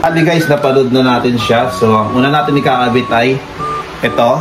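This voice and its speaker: A man with a Filipino accent talks through a hands-on tutorial in an average-pitched voice. He addresses viewers politely and familiarly, like a casual vlogger. Music plays loudly behind him. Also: fairly quick, low-quality recording. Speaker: vlogger presenting an installation.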